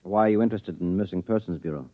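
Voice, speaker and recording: An actor playing a curt, secretive government agent husband in a 1950s radio drama. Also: male voice, deep voice, rapid-fire. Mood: guarded. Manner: curt, guarded. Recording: dry, tinny old radio broadcast.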